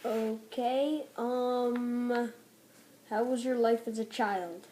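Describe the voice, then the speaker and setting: Slow, high, soft-edged female interviewer. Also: fairly even intonation. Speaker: interviewer for a school project. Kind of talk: reading prepared interview questions.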